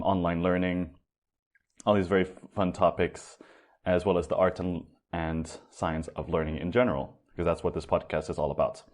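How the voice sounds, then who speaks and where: A young man hosts a podcast in a casual, upbeat way, loose with his words and now and then swearing. His voice sits very low. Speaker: podcast host.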